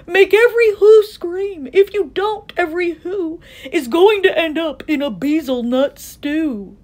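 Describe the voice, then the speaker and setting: A woman's voice: medium pitch, sing-song, slow, mellow. A woman voicing an earnest, loyal, big-hearted male elephant in a storybook, emphatic and pleading. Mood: alarmed, urgent.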